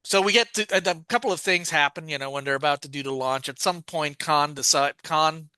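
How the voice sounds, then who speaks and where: Bright-voiced man recapping a plot. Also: fairly high pitch, brisk, clear, resonant tone, no echo. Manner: rambling, many restarts and fillers. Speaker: podcast co-host.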